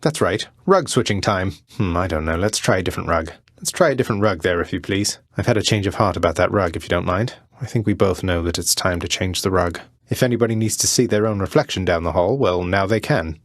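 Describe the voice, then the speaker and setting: A man's voice: deep voice, quick pace, few pauses, dry recording, clean studio sound. A man voicing a sardonic young underworld prince in a video game, with a wry, courtly, understated delivery. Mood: polite, decisive. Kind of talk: scripted video game character lines.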